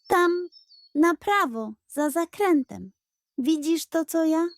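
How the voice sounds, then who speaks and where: A woman's voice: very high-pitched, expressive, takes it slowly, dry recording, pitched above the performer's own voice, full character voice. A woman voicing a brave, decisive male Wild West sheriff in a bedtime audio story, talking in a commanding, urgent way. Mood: alert.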